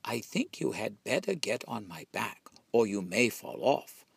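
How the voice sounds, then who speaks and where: A man's voice: lowish voice, very animated, unhurried, gravelly, slightly rough, no echo, heavily acted. A man voicing a sly fox in a storybook, polite and coaxing. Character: sly polite fox luring his prey.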